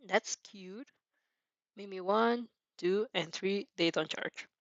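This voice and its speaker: Woman, slightly low voice, unhurried, casual reaction host, apologetic, scattered, close dry recording. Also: no room sound, dull audio. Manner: giggly, apologetic, scattered and self-interrupting. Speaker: host of a fan fiction reaction show.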